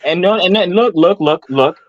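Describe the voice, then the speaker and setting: A man in his twenties on an online panel call, stepping in to mediate with quick, stumbling restarts. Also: high-pitched, animated, no echo, dull audio. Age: 20s.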